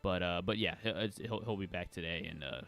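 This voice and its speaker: A man giving a casual sports news recap as co-host, halting and often correcting himself. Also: deep voice, fairly bright tone, soft background music. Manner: hesitant, halting, self-correcting. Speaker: sports show co-host reading the week's news.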